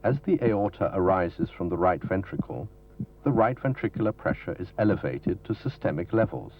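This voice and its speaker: A man giving a formal, measured, didactic scripted narration for a medical training film. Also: low-pitched, animated. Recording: dull lo-fi 1970s film soundtrack from videotape.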